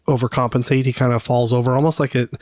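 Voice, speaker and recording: A man's voice: mid-range voice, flat delivery, fast talker, a little mellow, no room sound, clean studio sound. A man co-hosting a casual fan podcast, chatting speculatively and often trailing off mid-thought. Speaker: podcast co-host.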